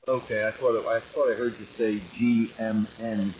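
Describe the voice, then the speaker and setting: A man's voice: lowish voice, level tone, moderate pace. A man chatting casually as an amateur radio operator, heard over a ham radio transmission. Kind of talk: casual on-air radio chat. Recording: muffled, very noisy ham radio signal.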